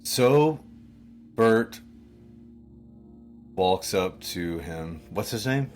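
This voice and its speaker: Tabletop player, male, deep voice, casual table talk, light music bed. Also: animated, very slow, darkish tone. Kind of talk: casual game-table chat.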